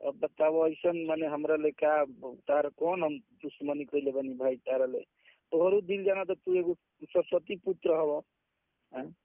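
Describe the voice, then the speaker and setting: A man's voice: a little high, expressive, a little slow, vocal fry, dry recording. A man with a Bhojpuri accent in an informal phone conversation, pleading and persuasive, often checking that the listener agrees. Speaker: music artist with own studio. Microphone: phone call. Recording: muffled recorded phone call.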